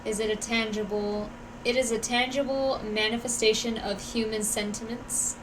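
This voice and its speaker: A woman's voice: on the high side, steady tone, normal speed, background noise. A woman streaming a game and reading its dialogue aloud. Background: game music.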